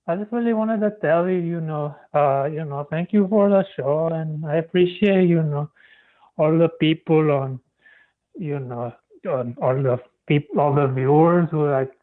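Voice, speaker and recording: A man's voice: fairly high pitch, measured pace. Hesitant man on a tinny phone line. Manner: hesitant, fills every phrase with "you know". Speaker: caller. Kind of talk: call-in phone chat.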